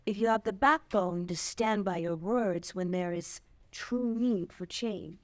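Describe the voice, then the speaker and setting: An actress playing a stern, regretful great-grandmother in her nineties, deliberate and challenging, at a dramatic table read. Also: mid-range voice, natural voice.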